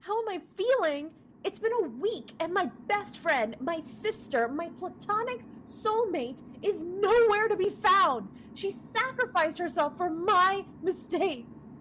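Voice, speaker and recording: Actress doing devoted magical bestie, really high voice, swoopy and emotional, pleading, audio drama. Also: female voice, a bit bright, slight background noise, exaggerated voice, poor audio. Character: impassioned, devoted young female magical best friend. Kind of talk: scripted fantasy comedy audio drama.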